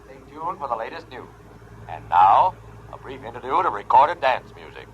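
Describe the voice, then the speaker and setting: A man's voice: mid-range voice, sing-song, moderate pace, slight background noise. An actor playing a male radio announcer heard through a radio set in a 1950s radio drama. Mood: brisk. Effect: distant radio speaker. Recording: lo-fi radio from far off.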